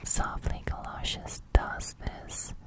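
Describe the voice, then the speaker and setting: A young adult woman whispering soothingly for an ASMR roleplay close to the microphone, with a breathy crackle that edges into soft speech. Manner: soothing, slow, reassuring. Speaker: ASMR host. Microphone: large studio microphone, very close.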